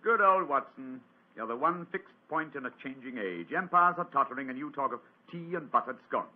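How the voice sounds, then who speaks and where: An actor playing a self-assured English gentleman detective, clipped, lofty and theatrical, in 1940s radio drama style. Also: medium pitch, lively intonation, brisk, natural voice. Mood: fond, grandiose. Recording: muffled old radio broadcast.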